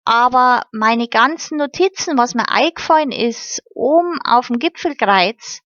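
A woman's voice: on the high side, expressive, measured pace, fairly bright tone, dry recording. A woman reading the part of a cheerful, grateful young girl with folksy southern German turns of phrase. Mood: eager. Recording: slightly muffled recording.

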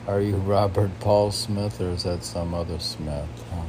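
A man's voice: deep voice, steady tone, a little slow, noisy background. A man reading poetry and commenting on it in rambling, casual asides, often as if talking to himself. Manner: talks to himself in questioning asides. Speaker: poet reading and commenting on own poems.

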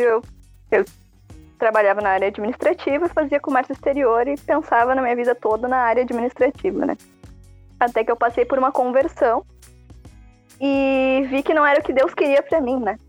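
A 24-year-old woman with a southern Brazilian gaúcho accent chatting on a podcast, relaxed and a bit hesitant. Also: a little high, no echo. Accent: southern Brazilian gaúcho Portuguese. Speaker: podcast co-host. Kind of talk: casual podcast chat.

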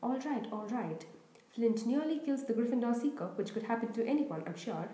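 A cheeky young sports commentator calling a match in a loud, excited rush, voiced by a woman reading a storybook. The voice sits fairly high and mellow. A roomy echo hangs around every call. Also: moderate pace, natural voice.

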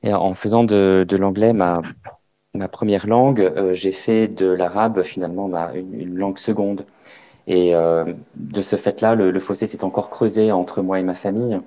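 Very low, mellow-voiced male interpreter, renders speech on the fly with frequent restarts. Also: fairly even intonation, normal speed. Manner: repeats words while rendering speech on the fly. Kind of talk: consecutive interpreting.

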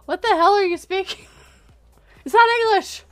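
A woman's voice: extremely high pitch, normal speed, a bit bright, soft background music. A woman with a Canadian accent chatting casually and jokingly on a livestream.

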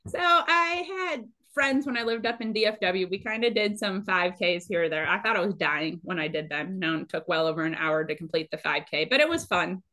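A woman, chatting casually and animatedly as she tells stories. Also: fairly low pitch. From Minnesota, United States. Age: late 30s. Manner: chatty, animated, quick to laugh. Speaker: podcast guest, amateur trail runner. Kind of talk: casual conversational storytelling.

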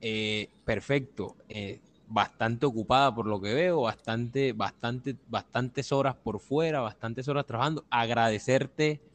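A man's voice: mid-range voice, unhurried, few pauses. A man with a mid-range voice hosts an interview in a formal, courteous way. He is wordy and often circles back over his own phrases. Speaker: interview host.

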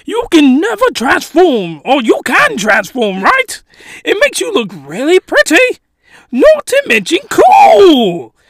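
A man's voice: very high-pitched, huge pitch swings, takes it slowly, a bit bright, no room sound, studio quality, pitched above the performer's own voice, heavily acted. A man voicing a polite, flirty younger male coworker in a manga, with an exaggerated fake British accent. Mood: admiring, flirty.

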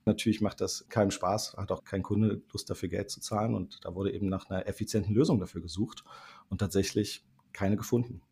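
A man from Thuringia, a startup managing director, explaining things in a relaxed podcast interview with frequent fillers and restarts. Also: fairly low pitch, a little fast, gravelly, breathy edge. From Thuringia, Germany.

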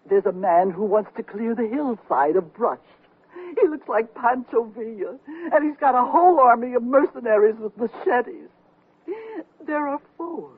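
A wife at her wits' end in an old radio comedy. She is husky and emphatic, piling on exasperation with theatrical flair. The sound is thin and narrow, like an aged broadcast. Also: a little high. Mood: tearful, fed up. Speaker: radio actor. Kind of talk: 1970s radio comedy acting. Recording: thin narrow-band 1979 radio recording.

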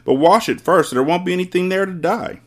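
A man voicing a scornful middle-aged mother in a storybook, shrill, exclaiming and scolding her husband. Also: fast talker, natural voice.

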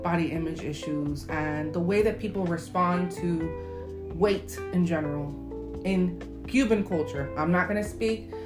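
A woman's voice: on the low side, unhurried. Upbeat woman podcast host, echoey room. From Miami, USA. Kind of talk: casual podcast chat. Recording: echoey room with guitar music.

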